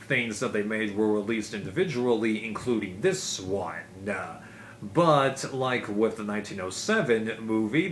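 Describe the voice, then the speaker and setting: A man's voice: lowish voice, fairly bright tone. A man talking casually and unscripted, as a reviewer in a collection vlog, in a rambling, conversational way with frequent asides. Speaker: video reviewer of home video releases. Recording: clearly noisy amateur recording.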